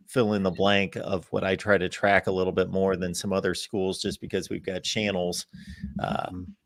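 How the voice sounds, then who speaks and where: A man's voice: low-pitched, no echo. A man co-hosting a sports talk show, chatting loosely with fillers.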